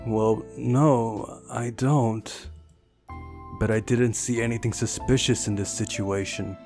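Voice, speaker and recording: Male reader as skeptical young male game hero, everyday mid pitch, dry and casual. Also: steady tone, slow pace, a little mellow, soft background music. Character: ordinary, skeptical young man, the game's hero.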